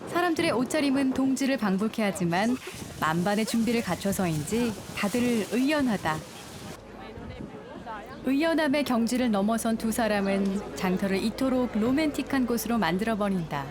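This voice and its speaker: A woman reading a calm, lyrical documentary travel narration. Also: a little high, moderate pace, crowd noise. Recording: studio voice-over with music.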